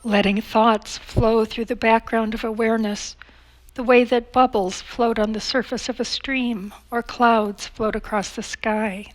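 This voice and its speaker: A woman leading a guided meditation as an instructor, speaking calmly in measured phrases with long pauses. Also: on the high side, poor audio.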